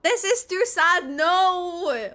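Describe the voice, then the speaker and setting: A woman's voice: extremely high pitch, animated, drawn-out words, sharp tone. A woman streamer reacting live to a game, chatting and thinking aloud expressively.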